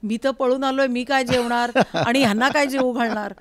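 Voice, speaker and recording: A woman's voice: fairly high pitch, fairly even intonation, fairly quick, crowd noise. A woman hosting a casual interview in a familiar, reflective, conversational way. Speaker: interview host. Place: recording studio.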